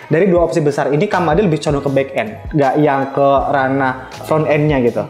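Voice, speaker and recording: A man's voice: fairly high pitch, a little fast, darkish tone. A man hosting a casual, chatty interview, mixing in English loanwords. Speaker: podcast host. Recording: roomy echo, faint music behind.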